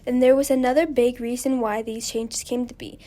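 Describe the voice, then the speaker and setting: Woman as 1920s radio show host: high voice, upbeat, polite scripted delivery. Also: fairly even intonation, fairly quick, dark tone, natural voice.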